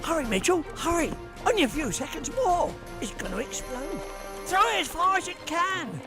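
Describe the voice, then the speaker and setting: A man's voice: very high-pitched, very animated, moderate pace, music in the background, heavily acted. A man playing an eager, deferential sidekick. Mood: urgent. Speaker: sidekick in radio drama.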